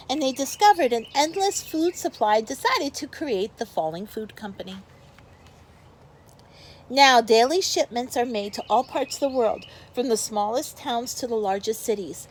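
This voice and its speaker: A woman with a fairly high voice reads a storybook aloud as a teacher would for a young class. Her delivery is expressive, and she steps out of the text to explain things to the children. Noticeable background noise sits behind her voice. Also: normal speed. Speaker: teacher reading a picture book aloud to pupils.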